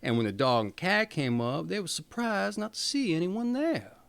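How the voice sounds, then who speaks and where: A man reading a fairy tale aloud as a storyteller, expressive and theatrical in his delivery. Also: high voice, normal speed, gravelly, clear, resonant tone.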